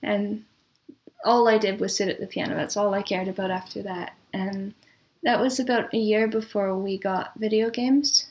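A woman speaking as an interview guest in casual, reminiscing conversation, with a thoughtful, measured way of talking. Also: mid-range voice, fairly even intonation, a little mellow, muffled recording. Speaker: interview guest, composer and audio drama producer.

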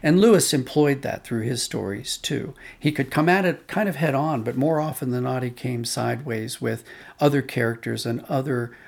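A man's voice: mid-range voice, normal speed, creaky, a little mellow. A man talking thoughtfully as an interview guest, explaining with emphasis on key words. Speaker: interview guest, audio drama producer.

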